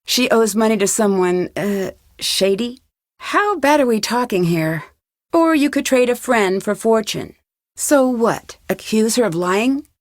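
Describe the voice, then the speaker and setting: A woman's voice: a little high, expressive, a little slow, dry recording, studio quality. An actress playing an inquisitive, polite young woman detective in a video game, speaking in an earnest, questioning way. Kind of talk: video game dialogue acting.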